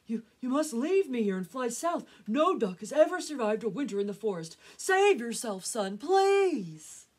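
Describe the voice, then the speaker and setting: A woman voicing a wounded old father duck in a storybook, weary and pleading, with a husky, faltering delivery. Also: on the low side, expressive, slow pace, a little mellow, exaggerated voice. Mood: urgent pleading. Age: older.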